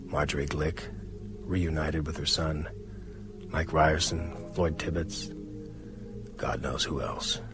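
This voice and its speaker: Brooding writer narrator, radio play, very deep and sombre, music under. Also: male voice, steady tone, slow pace, long pauses. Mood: brooding, uneasy. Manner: sombre, reflective, dropping to hushed tones. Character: brooding male writer recounting events, uneasy.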